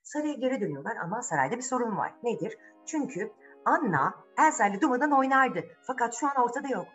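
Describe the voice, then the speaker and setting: A woman's voice: fairly high pitch, big pitch swings, fast talker, a bit bright, soft background music. A woman storyteller narrating a fairy tale informally for children, dramatic and full of questions to her young listeners.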